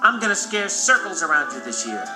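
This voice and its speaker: A man voicing an eager, cocky young monster college student in an animated storybook, excitable and emphatic. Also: on the high side, fairly quick, poor audio. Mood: cocky challenge. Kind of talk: animated storybook character acting. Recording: loud music behind the voice.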